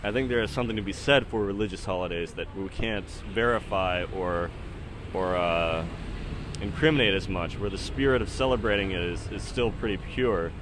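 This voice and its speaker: 30s guy podcasting solo, kinda low voice, hesitant rambly musing, faint ambient noise behind him. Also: moderate pace. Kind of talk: casual rambling spoken commentary.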